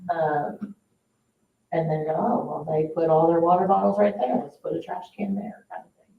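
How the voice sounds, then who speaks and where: A woman's voice: lowish voice, moderate pace, creaky, phone-quality sound. Woman explaining in an echoey meeting room. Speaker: parks staff member at a board meeting. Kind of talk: informal meeting discussion. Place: indoor public meeting room. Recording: echoey meeting room, distant mic, faint noise.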